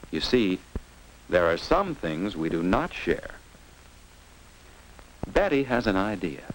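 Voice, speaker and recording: A low-voiced American man narrates a vintage classroom film for children, heard over faint noise and clicks of an old soundtrack. His delivery is scripted and instructive, with lively rises and falls as he poses simple questions and explains them. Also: rough recording. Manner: didactic, asking simple rhetorical questions and answering them.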